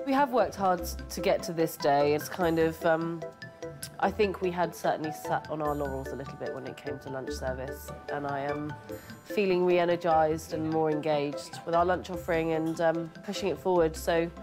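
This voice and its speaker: A woman's voice: low-pitched, moderate pace. A woman who owns a pub, talking in a chatty, self-deprecating way with restarts. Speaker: pub owner. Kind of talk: casual reality TV talk. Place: busy pub dining room. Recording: music bed underneath.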